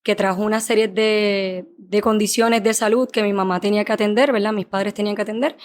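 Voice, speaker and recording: A woman from Puerto Rico with a Puerto Rican accent, a clinical psychologist telling her story as a podcast guest in a measured, thoughtful way. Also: medium pitch, fairly even intonation, a little hiss or hum. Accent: Puerto Rican Spanish. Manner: measured, thoughtful, with small fillers. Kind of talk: podcast interview, personal storytelling.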